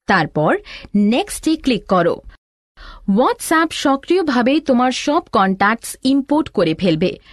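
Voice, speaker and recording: A woman's voice: sits high, dry recording, studio quality. A woman narrating a scripted step-by-step tutorial, addressing the learner directly as an instructor. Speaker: instructor and narrator of an educational animation.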